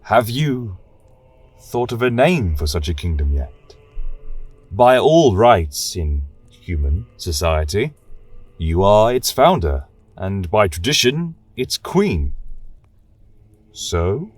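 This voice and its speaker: Noble male angel warrior for fantasy tale played by a man: gentle, formal, unhurried, breathy-edged, music beneath. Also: lowish voice, sing-song, dry recording, full character voice. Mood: gently questioning. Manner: soft, solemn, formal. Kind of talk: fantasy audio tale acting.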